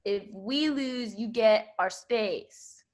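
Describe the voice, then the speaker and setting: Woman as a high-pitched bossy jock monster. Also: takes it slowly, darkish tone. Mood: challenging. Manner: haughty, confrontational, theatrical. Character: bossy, snobbish jock monster gang leader.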